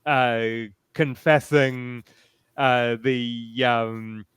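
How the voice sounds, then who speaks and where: A man's voice: mid-range voice, fairly even intonation, drawn-out words, fairly bright tone, smooth, no echo, studio quality. A man speaking as a panelist in a casual, enthusiastic fan discussion.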